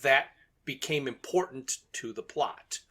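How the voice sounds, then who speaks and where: A man's voice: medium pitch, measured pace, pushed and bright, breathy edge. A man co-hosting a podcast, chatting casually with his fellow host. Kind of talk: casual chat about an audio drama.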